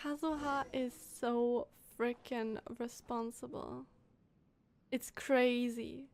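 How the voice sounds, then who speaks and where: High-pitched, gushing woman game streamer. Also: vocal fry, slight background noise. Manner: gushing, expressive, drawn-out emphasis. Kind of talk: casual live gameplay commentary. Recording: game music behind the voice.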